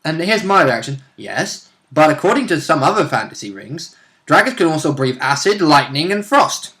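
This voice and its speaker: A man's voice: fairly high pitch, very animated, normal speed, pushed and bright. A man chatting casually as a tabletop role-player, with a joking, wry manner. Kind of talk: casual banter.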